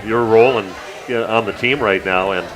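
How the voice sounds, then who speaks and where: A man hosting a local radio sports show, chatting casually with guests, halting with lots of fillers and the odd joke. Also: on the low side, fairly even intonation, music in the background, poor audio. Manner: halting, many fillers, joking. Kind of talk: casual interview chat.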